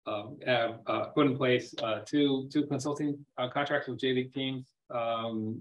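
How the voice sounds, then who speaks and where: A man speaking as a company chairman on a web earnings call, presenting semi-formally off the cuff, haltingly, often repeating and rephrasing his words. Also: medium pitch, fairly bright tone. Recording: dull, lo-fi web call audio.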